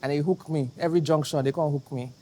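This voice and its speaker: A woman's voice: low-pitched, fairly even intonation, fast talker, dark tone. A woman from Nigeria, a guest actress, chatting casually in a playful, teasing way and dropping in pidgin phrases. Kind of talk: casual interview chat.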